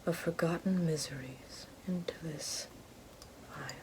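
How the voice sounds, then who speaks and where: A woman playing a haughty, fallen evil queen and potion-maker in a breathy, mostly whispered ASMR roleplay. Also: deep voice, noisy background. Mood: calm, ominous. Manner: slow, deliberate, haughty, theatrical. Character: fallen evil queen, sly potion-making sorceress down on her luck.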